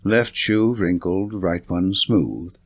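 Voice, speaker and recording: A man's voice: sits low, slow, voice pushed down, heavily acted. A man voicing a keen, severe master detective in an audiobook, clipped, commanding and precise. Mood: incisive, probing. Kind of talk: audiobook character reading.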